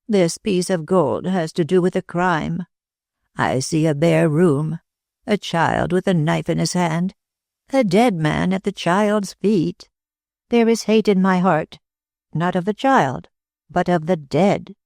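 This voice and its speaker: A reader voicing a thin, pale woman clairvoyant who speaks slowly and with emotion, in an audiobook. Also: medium pitch, creaky, a little mellow, no room sound, clean studio sound. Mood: trance. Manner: slow, emotional, solemn. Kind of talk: audiobook reading.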